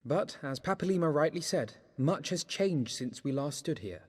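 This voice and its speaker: A young British nobleman in a fantasy game. His voice sits fairly high, with formal, measured, earnest phrasing and long hall reverb. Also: expressive, breathy edge. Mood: earnest, resolute. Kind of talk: formal scripted game dialogue.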